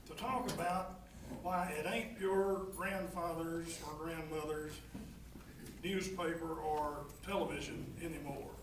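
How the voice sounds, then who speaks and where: An older man with a slight Texan accent giving informal opening remarks as moderator of a public forum. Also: high-pitched. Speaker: panel moderator. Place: large echoing indoor venue, public forum. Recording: echoey, noisy room, distant microphone.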